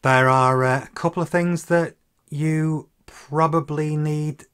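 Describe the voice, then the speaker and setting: A man in his fifties explaining software in an informal tutorial, hesitant and halting, with frequent ums. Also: a little high, fairly even intonation, clean tone. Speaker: presenter of a flight simulator tutorial series.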